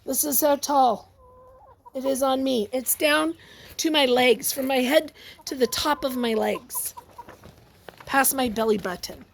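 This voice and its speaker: Lady reading a picture book to little kids, high voice, takes it slow, lots of exclaiming and asking questions. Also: vocal fry, slight background noise. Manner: exclamatory, expressive, asks listeners questions. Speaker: teacher reading a picture book aloud to children. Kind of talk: animated read-aloud to young children with asides.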